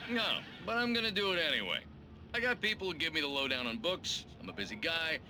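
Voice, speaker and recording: A man's voice: fairly high pitch, big pitch swings, fast talker, background noise. An actor playing a glib late-night talk show host, showy, blunt and joking with his guest. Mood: showy, chummy.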